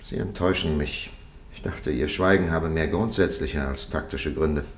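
An actor playing a stern, polished interrogator in a 1950s German radio play, formal, measured and insistent. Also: male voice, extremely low pitch, slight background noise. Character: stern, polished male interrogator pressing a silent prisoner.

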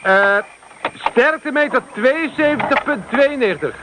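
An actor playing a chatty, slightly hesitant technician in a Dutch radio play, speaking conversationally. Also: male voice, very high-pitched, animated, a little slow, bright, forceful tone, a little hiss or hum, heavily acted. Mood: concentrated.

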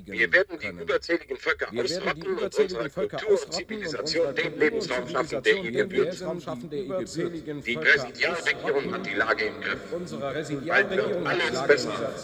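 An actor playing a pompous, fanatical propaganda orator, declaiming in in a radio play. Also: male voice, on the high side, lively intonation, normal speed. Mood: fanatical, triumphant. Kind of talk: political speech in a German radio play. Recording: loud crowd behind the speech.

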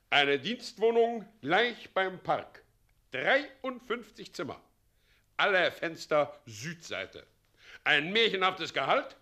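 An actor playing a scheming, pompous middle-aged president in a German radio play, swinging theatrically from commands to wheedling. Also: male voice, sits high, pushed and bright, exaggerated voice. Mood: grandly persuasive. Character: scheming, pompous tyrant president, doting mockingly on his son.

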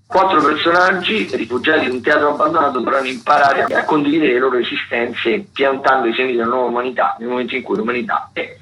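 A man's voice: mid-range voice, steady tone, fast talker, few pauses, sharp tone. A man presenting theatre listings on radio in a semi-improvised, stop-and-start way, often repeating words. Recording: muffled, noisy community radio broadcast.

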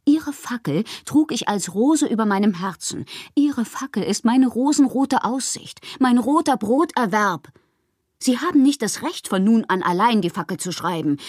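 An actress playing a passionate, eccentric bohemian poetess, reading fanciful letters in a theatrical radio drama monologue with a breathy voice. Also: female voice, fairly high pitch, animated, moderate pace, darkish tone, no room sound, studio quality. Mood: insistent, fervent. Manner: theatrical, dreamy, often slipping into breathy whispers. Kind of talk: dramatic literary monologue in a German radio play.

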